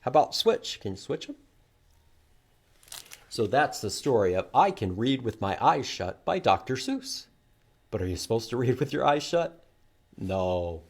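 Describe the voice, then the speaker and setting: A man presenting a children's library storytime, reading aloud in an animated, sing-song way with questions and repeated words for young listeners. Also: mid-range voice, measured pace, pauses often, breathy edge.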